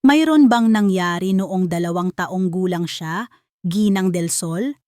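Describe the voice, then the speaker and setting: A Filipino woman voicing an understanding doctor who asks slow, pointed questions in an audio drama. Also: fairly low pitch, mellow, dry recording, clean studio sound, close to an everyday voice. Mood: gently probing.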